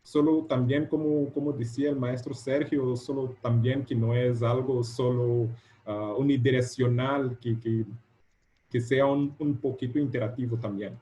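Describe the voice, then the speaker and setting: A man whose native language is Portuguese, with that accent, giving an informal online presentation as a guest lecturer, haltingly repeating words as he searches for vocabulary. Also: mid-range voice, steady tone, warm tone, a little hiss or hum. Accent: Portuguese-speaker's. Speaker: guest lecturer, doctoral candidate. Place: video call.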